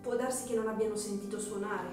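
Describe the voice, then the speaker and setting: A woman playing a tender, anxious young wife in a radio drama, with a soft, pleading and emotional manner. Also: mid-range voice, steady tone, fast, lightly acted. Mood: gently reassuring. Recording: very echoey room, faint music underneath.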